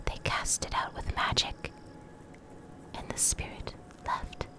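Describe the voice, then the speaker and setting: A woman reading in a soft, breathy whisper for ASMR, in short deliberate phrases with pauses, close to the microphone. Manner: slow, deliberate, pausing between short phrases. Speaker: ASMR video creator reading game lore. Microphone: Blue Yeti desktop microphone, close up.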